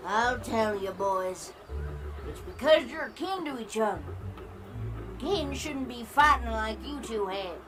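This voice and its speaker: A woman playing a wise old granny pony with an American Southern accent, telling things in a homespun, gently scolding way for a cartoon comic dub. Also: fairly high pitch, lively intonation, takes it slowly, rough recording. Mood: firm, advising. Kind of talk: fan comic dub character acting. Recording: music playing behind the voice.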